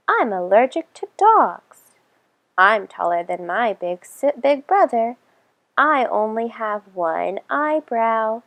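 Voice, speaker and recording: A woman voicing candid children in a storybook, each owning up to an oddity. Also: on the high side. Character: candid children listing their own oddities.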